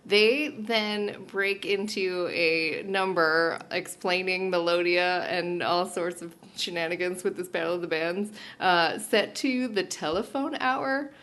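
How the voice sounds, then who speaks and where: A woman's voice: on the low side, a little slow, few pauses, a bit bright. A woman co-hosting a podcast, chatting casually about a TV show in a sweary, self-mocking, nerdy way. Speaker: podcast co-host. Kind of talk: casual chat recapping a TV show.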